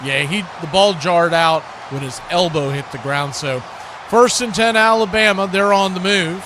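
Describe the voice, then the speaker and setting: A man's voice: high voice, moderate pace, background noise. A man hosting a livestream, calling football play-by-play and chatting casually with viewers. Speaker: livestream host and play-by-play commentator.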